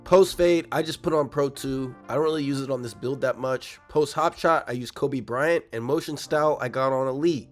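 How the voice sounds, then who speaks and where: A young man with a slightly raspy voice from a cold, casually breaking down a video game build for his viewers. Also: mid-range voice. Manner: fast, casual, addresses viewers directly. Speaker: gaming YouTuber. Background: faint background music.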